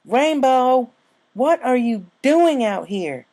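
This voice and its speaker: A man's voice: very high-pitched, sing-song, slow pace, a little mellow, pitched above the performer's own voice, heavily acted. A man voicing a plain-spoken female farm pony in a storybook reading, concerned and chiding in a folksy way. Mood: scolding.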